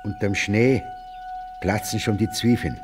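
An actor playing a lonely old mountain man, musing haltingly and tenderly to himself in a radio drama. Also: fairly low pitch, close to an everyday voice. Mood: quiet, musing. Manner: halting, musing self-talk. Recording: music behind the voice.

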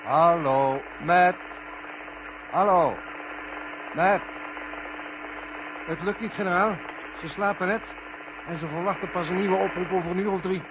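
Higher-voiced, respectful radio operator. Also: male voice, unhurried, pauses often. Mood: trying, doubtful. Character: eager, respectful male mission-control radio operator. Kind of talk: 1970 Dutch radio play acting. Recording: dull old radio recording, humming noise.

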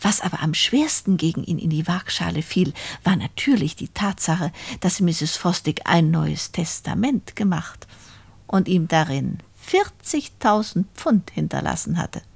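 An actress in a German radio play as a sly, gloating poor spinster who confides her crime to listeners in a deliberate storytelling manner. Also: lowish voice, animated, vocal fry, husky, no room sound, full character voice. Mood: confiding, knowing.

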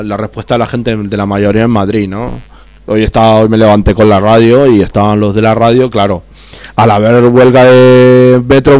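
A man's voice: fairly low pitch, a little mellow, no echo. A man with an Argentine accent talking heatedly on a political radio chat, repeating and tripping over his words. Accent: Argentine Spanish.

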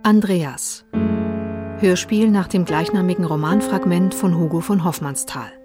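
Lowish female announcer over piano music. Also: measured pace. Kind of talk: scripted radio drama title announcement and literary reading.

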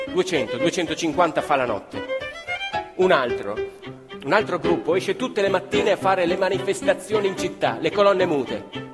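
A man telling a story on stage in the first person, emphatic and lively. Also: sits high. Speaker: stage actor narrator. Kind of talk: theatrical storytelling. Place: theatre stage. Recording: live theatre with long reverb. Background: live violin and piano.

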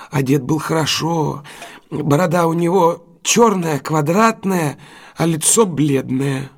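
Husky, higher-voiced deferential cabbie. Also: male voice. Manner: servile, halting, addressing his better respectfully. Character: deferential working-class London cab driver, wary but honest. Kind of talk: audio drama acting.

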